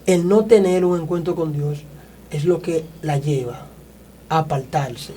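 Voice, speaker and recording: Mellow-voiced male evangelist host. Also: fairly high pitch, unhurried, a little hiss or hum. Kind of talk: church interview hosting.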